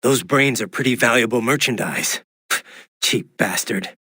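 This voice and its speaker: An actor, a man, playing a gruff, wry cyborg soldier in a video game, with a gravelly, raspy voice and a laconic, informal way of talking. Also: mid-range voice, a little slow, bright, forceful tone. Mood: wry, casual. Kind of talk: scripted video game voice acting.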